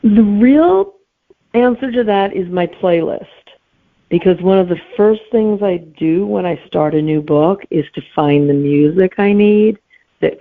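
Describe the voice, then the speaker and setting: A woman's voice: fairly low pitch, vocal fry. A middle-aged woman talking casually as an interview guest, over a narrow, tinny line. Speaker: guest author and teacher.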